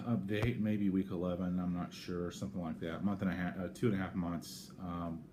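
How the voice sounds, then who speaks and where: American man, very low pitch, casual vlog talk, halting and rambling, self-correcting, audible room noise. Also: flat delivery. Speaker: vlogger giving a personal health update. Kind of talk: casual unscripted talk to camera. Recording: clear background noise, room ambience.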